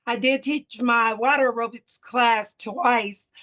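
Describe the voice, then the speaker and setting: An older woman from Missouri, a bit hoarse, giving an earnest talk at a community meeting as a chapter president. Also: on the high side, steady tone, takes it slowly, a bit bright. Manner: earnest, explanatory, short phrases with fillers. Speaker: guest speaker, local civil rights chapter president.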